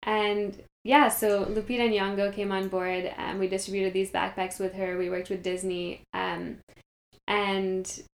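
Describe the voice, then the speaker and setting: A young woman from Kenya speaks in an earnest, storytelling way and fills her pauses with ums. Her voice stays level, with little rise and fall. The room around her is echoey. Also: medium pitch, flat delivery. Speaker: guest, company founder. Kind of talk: conversational interview. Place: meditation studio.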